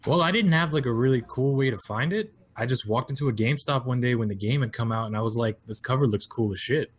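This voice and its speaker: Relaxed male podcast guest. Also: medium pitch, quick pace, breathy edge. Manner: relaxed, laughs easily. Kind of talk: casual podcast chat.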